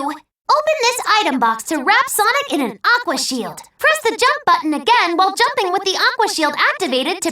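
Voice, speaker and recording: A woman voicing a small cheerful childlike robot helper in a video game, giving chirpy, instructive tutorial hints in a squeaky voice. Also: pushed and bright, roomy sound, distant mic, exaggerated voice. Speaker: voice actor.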